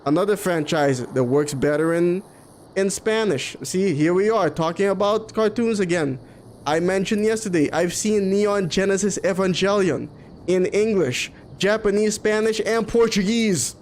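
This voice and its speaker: Higher-pitched Caribbean streamer guy, noisy recording. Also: moderate pace, darkish tone. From Curaçao, in the Caribbean. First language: Papiamento. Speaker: video game streamer. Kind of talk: casual live stream chat over gameplay. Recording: clearly noisy background.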